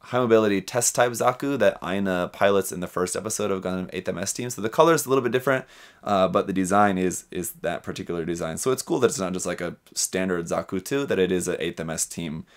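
A young adult man with a low-pitched voice presents a hobby news rundown. He talks casually and adds his own commentary as he goes, speaking into a close desk microphone in a small room. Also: brisk. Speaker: host of a hobby news show. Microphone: desk microphone with pop filter, close.

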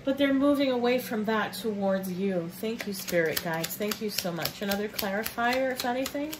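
A woman's voice: medium pitch, moderate pace, background noise. A woman doing a casual tarot reading for viewers. She is a bit sniffly and talks in a chatty, affectionate way, calling listeners babe and baby nugget. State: sniffly from sinus trouble. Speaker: tarot reader. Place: indoors at a table with cards on red cloth.

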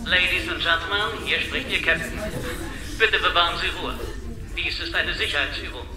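An actor playing a calm, official cruise captain making a formal, reassuring announcement over a ship's PA in a radio drama. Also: male voice, fairly high pitch, moderate pace. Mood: official reassurance. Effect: ship's public address speaker. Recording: echoey, distant PA announcement.

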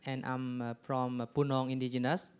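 A man from Cambodia, introducing himself on a panel quickly with pauses. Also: mid-range voice, flat delivery, smooth. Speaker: youth association worker.

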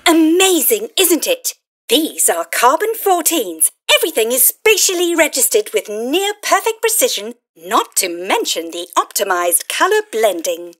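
A woman's voice: sits high, sing-song, unhurried, fairly bright tone, full character voice. A woman playing a tiny cheerful electronic fairy helper in an audio play, gushing and encouraging with eager sales-like patter. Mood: cheerful, helpful. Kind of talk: scripted audio play acting.

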